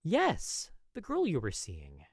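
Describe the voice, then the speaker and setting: A man's voice: on the high side, huge pitch swings, slow pace, husky, no echo, studio quality, voice pushed up, heavily acted. A man voicing an exasperated, hot-tempered young man of the Old West in an audio story, snappy and scolding. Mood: dry, curious. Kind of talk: audio story character acting.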